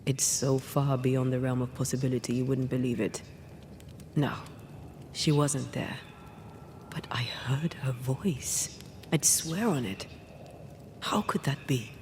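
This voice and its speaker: Hushed, slow, grave ghost woman. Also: very deep voice, a little hiss or hum. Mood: insistent. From Cuba. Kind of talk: dramatic game cutscene acting.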